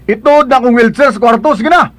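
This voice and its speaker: An actor playing a brooding, commanding master in a radio drama, speaking in a curt and insistent way. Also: male voice, extremely high pitch, quick pace, a bit bright, no room sound. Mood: impatient, barking. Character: brooding, commanding male boss in a wheelchair.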